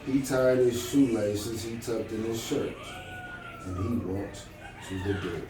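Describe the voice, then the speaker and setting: A man reading a storybook aloud in an encouraging, conversational manner, pausing to check that the listener follows. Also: on the low side. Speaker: storybook reader. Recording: very echoey, distant mic, music behind.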